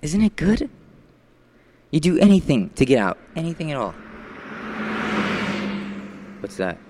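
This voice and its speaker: Man acting a menacing, forceful radio-thriller villain. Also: fairly high pitch, very animated, unhurried, noisy background, pitched above the performer's own voice. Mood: menacing, demanding. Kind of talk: old-time radio play acting.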